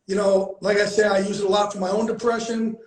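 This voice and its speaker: A man's voice: sits high, flat delivery, fast, echoey room, low-quality recording. A middle-aged man who hosts a candid conversation, talking bluntly and earnestly and swearing freely. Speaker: host, founder of a veterans and players group.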